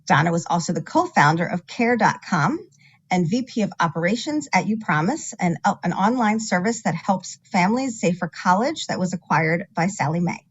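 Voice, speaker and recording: Husky woman reading an introduction. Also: fairly low pitch, lively intonation, muffled recording. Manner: measured, reading a bio. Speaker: event host introducing a speaker. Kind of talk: formal introduction.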